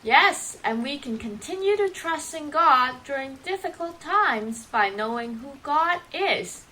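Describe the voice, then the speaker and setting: A woman's voice: sits high, expressive, a little slow, sharp tone, exaggerated voice, rough recording. A woman playing a chiding, emphatic co-host on a children's Bible puppet show, speaking in an instructive way. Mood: upbeat, instructive.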